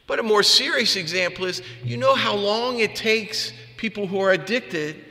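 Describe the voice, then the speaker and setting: Male priest, higher-pitched and forceful, conversational homily delivery in a big reverberant space. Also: moderate pace. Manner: conversational, plain-spoken, rhetorical questions. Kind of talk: homily with personal anecdotes. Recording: long reverb of a large room.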